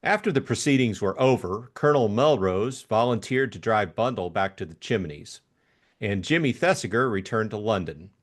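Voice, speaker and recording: A man narrating a literary audiobook in an expressive storytelling style. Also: on the low side, moderate pace.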